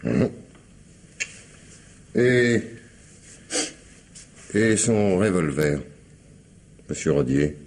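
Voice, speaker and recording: An actor playing a shrewd, suspicious police commissioner in an old French radio mystery, questioning and musing aloud with pointed pauses. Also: male voice, low voice, animated, a little mellow, full character voice. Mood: probing. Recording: noisy old radio recording with ambience.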